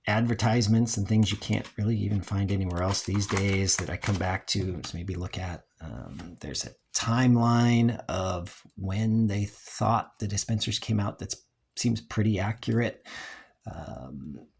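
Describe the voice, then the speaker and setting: A man's voice: lowish voice, measured pace, creaky, a little mellow, slight background noise. A man giving a casual, unscripted review in a rambling, hesitant way, as the host of a collecting video.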